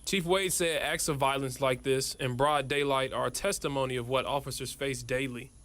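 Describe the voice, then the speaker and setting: A man's voice: medium pitch, fairly even intonation, normal speed, fairly bright tone. An American man delivering scripted narration as a television news reporter.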